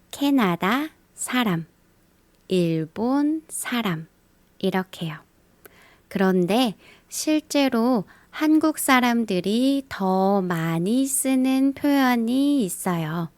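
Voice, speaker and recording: A woman teaching a beginner language lesson, speaking in a slow scripted style and carefully repeating the example phrases. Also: on the high side, a little mellow, no room sound. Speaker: Korean teacher.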